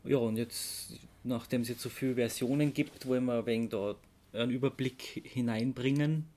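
A man's voice: mid-range voice, fairly even intonation, normal speed, a little mellow. A man with an Austro-Bavarian accent co-hosting a podcast, explaining things informally and correcting himself as he goes. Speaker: podcast co-host. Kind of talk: casual explanatory chat.

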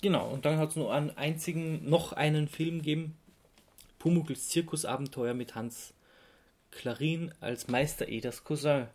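A man co-hosting a casual podcast, halting and correcting himself as he recounts things and gives firm opinions. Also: a little high, darkish tone. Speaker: podcast co-host.

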